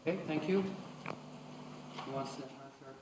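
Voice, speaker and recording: A man chairing the question session at a conference, brisk and directing speakers. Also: medium pitch, a little mellow.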